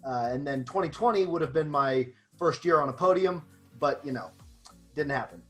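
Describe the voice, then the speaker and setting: A nineteen-year-old man from Southern California, a drum major, talking on a video call in a relaxed way and laughing easily. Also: a little high, moderate pace, faint music behind.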